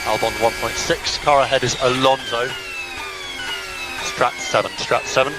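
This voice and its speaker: Loud engine and tool noise surrounds this male race engineer on team radio. His voice sits at a medium pitch, and he delivers short procedural calls, often repeating them, in a matter-of-fact way. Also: normal speed. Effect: team radio transmission.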